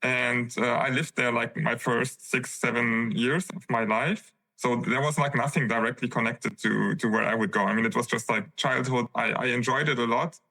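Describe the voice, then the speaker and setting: Podcast guest: man from Lebanon, mid pitch, halting delivery with frequent restarts. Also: steady tone, vocal fry, bright, forceful tone, low-quality recording. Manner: hesitant, many restarts and "like" fillers. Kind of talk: casual interview answers.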